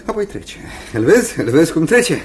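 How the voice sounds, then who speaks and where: A man's voice: fairly high pitch, sing-song. An actor playing a tormented, secretive cathedral cantor in a radio play, brooding and agitated, repeating his words. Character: tormented, opium-smoking cathedral cantor, secretive and bitter uncle. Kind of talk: radio theatre acting.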